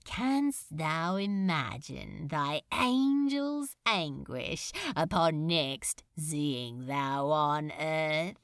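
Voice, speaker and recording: Lady doing a grave evil demon lord guy, low and super slow, old-timey intoning, gloating, audiobook style. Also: no echo, studio quality, heavily acted. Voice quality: deep, grave, buzzing. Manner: slow archaic intoning, menacing. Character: male demon lord of hell, cruel, grave, powerful, gloating. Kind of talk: dramatic audiobook character voice.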